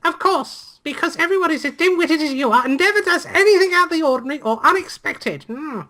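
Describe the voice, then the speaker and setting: A scornful young stepsister from a story game, acted out by a man. The voice sits very high and swings widely as she looks down on everyone around her. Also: lively intonation, a little fast, pushed and bright, exaggerated voice. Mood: sneering.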